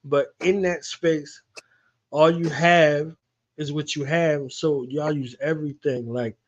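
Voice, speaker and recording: A man's voice: on the high side, fairly even intonation, slow pace. A man hosting a casual interview, talking enthusiastically and emphatically, often repeating his phrases. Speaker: radio show host. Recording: slightly dull lo-fi audio.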